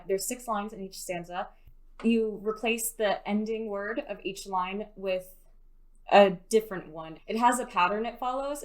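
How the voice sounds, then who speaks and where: A woman giving casual, conversational answers as an interviewed poet. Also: mid-range voice.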